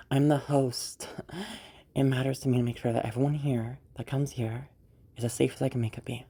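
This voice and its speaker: A young woman acting in an audio roleplay as a caring, teasing party host, talking in a rambling, hesitant, softly reassuring way. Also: a bass voice, steady tone, moderate pace, husky, heavily acted. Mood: caring, earnest. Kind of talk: audio roleplay, naturalistic one-sided dialogue.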